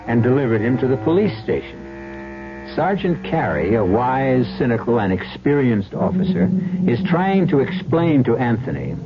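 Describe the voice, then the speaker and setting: A man hosting and narrating a radio drama in a measured, formal, dramatic scripted style. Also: medium pitch, sing-song, noisy background. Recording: muffled old radio broadcast. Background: music.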